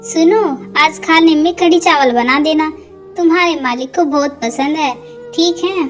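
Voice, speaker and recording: Woman acting a high-voiced, brisk cartoon lady employer. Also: faint music behind. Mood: matter-of-fact. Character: brisk, matter-of-fact well-off lady employer. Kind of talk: cartoon dialogue.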